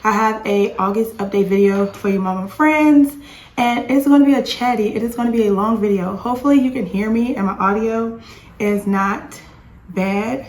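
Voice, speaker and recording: Chatty hoarse woman, echoey home. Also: medium pitch, normal speed, a little mellow. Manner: chatty, rambling with restarts. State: hoarse, losing her voice. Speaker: homeschooling mom vlogger. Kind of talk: casual chatty vlog. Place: home with dishwasher and dryer running.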